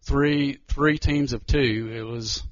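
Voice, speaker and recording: A man's voice: mid-range voice, fairly even intonation, no echo, dull audio. Nervous man giving testimony. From Georgia, US. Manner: nervous, halting, many restarts. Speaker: missionary. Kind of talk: church testimony talk.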